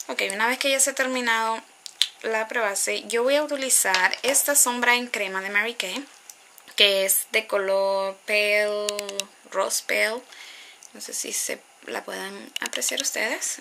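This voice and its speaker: Chatty Honduran-born woman, bright gravelly voice. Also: on the high side, slight background noise. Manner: chatty, rambling with asides and restarts, mixes in English words. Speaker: beauty vlogger. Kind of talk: casual makeup tutorial. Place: small bedroom, indoors. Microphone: webcam at close range.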